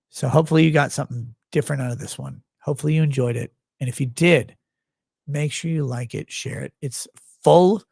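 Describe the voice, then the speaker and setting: A tired man with a gravelly voice, hosting a livestream and signing off in a rambling, blunt, profane way. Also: mid-range voice, darkish tone, no echo, studio quality. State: tired, low on sleep. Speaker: livestream host. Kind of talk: casual livestream sign-off chat.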